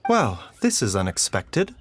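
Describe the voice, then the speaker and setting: A man voicing a gentle, courteous pharmacist who talks in a polite, measured, formal way, in a video game. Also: on the low side, huge pitch swings, a little hiss or hum. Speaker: physician.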